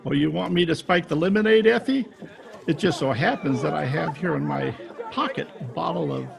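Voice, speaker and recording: A man's voice: fairly high pitch, lively intonation, brisk, creaky, crowd noise. A man playing a tough, sardonic private detective in old-time radio drama style, recorded at home over a video call. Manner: hard-boiled, sardonic. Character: tough, wisecracking male private detective, impatient with sentiment.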